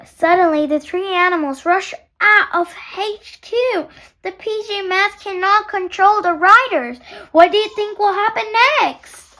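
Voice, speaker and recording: A young girl reading a children's story aloud in a halting, sing-song way, putting questions to young listeners. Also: very high voice, sharp tone, poor audio.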